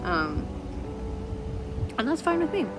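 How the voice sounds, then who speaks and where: A woman's voice: on the high side, very animated, slow, low-quality recording. A woman vlogging in a casual, rambling way, stuffy and congested, with lots of fillers as she chats to the camera. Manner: rambling and chatty, many fillers. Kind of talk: casual travel vlog chat. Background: loud background music.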